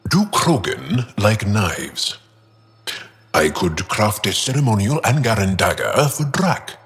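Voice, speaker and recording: Earnest, unsure alien fighter for a video game: deep, unhurried, widely swinging intonation. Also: male voice, big pitch swings, fairly bright tone, heavily acted. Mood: awkwardly eager. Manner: halting, earnest, deliberate. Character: earnest, self-doubting young male alien warrior, gentle. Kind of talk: video game character acting.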